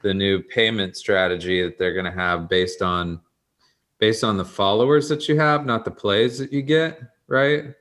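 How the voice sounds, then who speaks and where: A man chatting casually on a podcast, halting with many fillers as he thinks. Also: on the low side, a bit bright.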